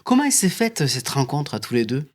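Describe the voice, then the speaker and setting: A male radio show host interviewing guests in a studio, asking questions briskly with quick asides. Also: mid-range voice, very animated. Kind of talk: hosting an interview.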